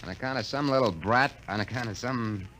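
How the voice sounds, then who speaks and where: A man's voice: mid-range voice, a bit bright, close to an everyday voice, poor audio. An actor playing a breezy, slangy salesman in a 1950s radio drama. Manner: breezy, slangy, fast-talking. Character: fast-talking salesman and repairman. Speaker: logic salesman. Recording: old radio recording with faint noise.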